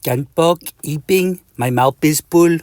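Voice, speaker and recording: A man's voice: high voice, sing-song, moderate pace, no echo, studio quality, voice pushed up. A man voicing a busy, suave male mosquito with a put-on French accent. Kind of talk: storybook character voice.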